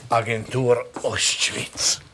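An actor playing a timid, dreamy office clerk in a radio drama, with a Swiss German accent and a hesitant, awkward manner. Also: male voice, mid-range voice, little pitch movement, pushed and bright, slight background noise. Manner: hesitant, halting, mumbling.

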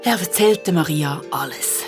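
A woman's voice: on the low side, normal speed. A woman with a Swiss German accent narrates a children's audio drama in a vivid, conversational storytelling style. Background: music.

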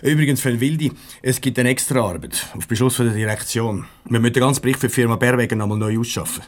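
An actor playing a grumpy, curt office boss in a 1970s radio comedy, brusque and grumbling, with a Swiss German accent. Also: male voice, mid-range voice, fast talker, natural voice. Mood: brisk, bossy.

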